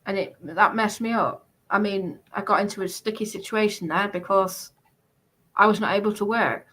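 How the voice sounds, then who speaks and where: A woman's voice: mid-range voice, normal speed, a bit bright, husky. A woman chatting casually on a live stream, talking in a defensive, insistent way.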